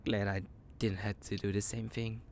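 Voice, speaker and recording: A man's voice: lowish voice, level tone, moderate pace, a little mellow, slight background noise, lightly acted. A man playing a psychotic, possessive young kidnapper in an intimate audio roleplay, cooing menacingly in half whispers with creepy giggles. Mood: doting, possessive.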